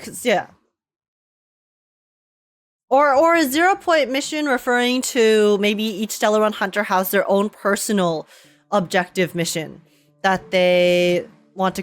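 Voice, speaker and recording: A young woman streamer giving casual, slangy, excitable live reactions to a game. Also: on the high side. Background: game music in background.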